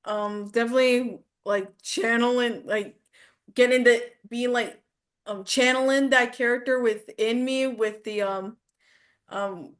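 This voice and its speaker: A woman's voice: on the high side, steady tone, unhurried. A woman speaking as a voice acting student in a casual lesson chat, often searching for her words.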